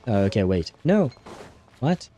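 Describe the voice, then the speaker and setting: A man's voice: lowish voice, big pitch swings, measured pace, mellow, soft background music. A man giving casual livestream commentary as he plays a game, muttering to himself and swearing now and then. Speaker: livestream host.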